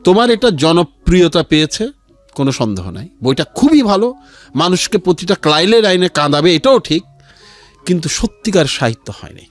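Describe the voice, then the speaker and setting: A man's voice: high-pitched, sing-song, moderate pace. A middle-aged man with a Bangladeshi accent, telling his life story and giving earnest advice as an interview guest. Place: radio studio interview. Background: music behind the voice.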